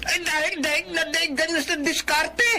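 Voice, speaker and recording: Stern Filipino dad in radio drama: high-set, forceful, confrontational. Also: male voice, flat delivery, normal speed, a little hiss or hum, exaggerated voice. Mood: lecturing. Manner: scolding, confrontational. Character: stern, fault-finding father of a grown son.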